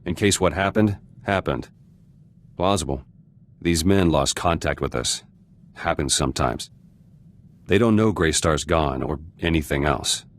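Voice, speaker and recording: An actor playing a gruff, guarded revolutionary turned politician in a video game, speaking in blunt, clipped fragments. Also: male voice, very deep voice, a little slow, long pauses. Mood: resolute, curt. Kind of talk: video game character dialogue.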